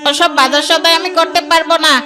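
A woman's voice: very high voice, flat delivery, fast. A woman voicing a sly, cowardly male fox in a children's cartoon, theatrical and whiny. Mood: grumbling. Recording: music bed behind the voice.

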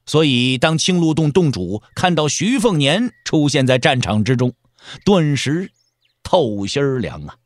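A man's voice: a little high, big pitch swings, measured pace, no echo, studio quality. A man narrating an audio drama as a dramatic storyteller, with emphatic, theatrical phrasing.

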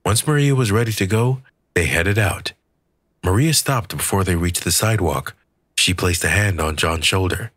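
A man narrating a scripted work of fiction as an audiobook reader, with a husky voice. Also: low-pitched, moderate pace, fairly bright tone, no echo, clean studio sound.

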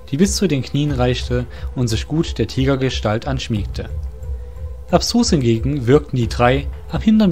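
A male narrator reads a scripted fantasy tale. His voice is of average pitch, and soft music runs behind it.

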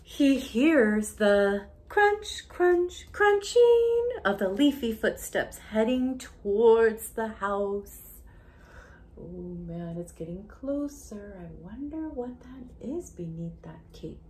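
A woman in her thirties doing an interactive picture-book read-aloud for young children, expressive and sing-song, with hushed asides and questions to the listener. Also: a little high. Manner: slow, deliberate reading with questions to the listener. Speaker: children's story reader, educator. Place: small indoor room at home. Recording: home recording with faint background noise.

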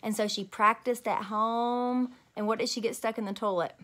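Female read-aloud teacher, thirties, fairly high bright voice, expressive and emphatic. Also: moderate pace. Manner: animated, emphatic. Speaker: teacher reading aloud to children. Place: small room at home.

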